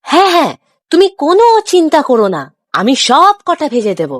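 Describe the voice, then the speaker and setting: Cartoon part: eager, apologetic young boy played by a woman, extremely high and animated. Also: brisk, no room sound, clean studio sound. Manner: pleading, earnest. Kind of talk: children's cartoon dialogue.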